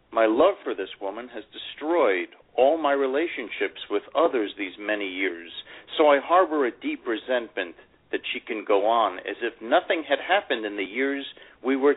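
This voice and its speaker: A man's voice: lowish voice, husky. A man playing a proud, lovelorn older divorced man, reading his memoir in an earnest storytelling manner. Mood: hurt, resentful. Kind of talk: dramatic first-person memoir reading. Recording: phone-like narrow radio sound.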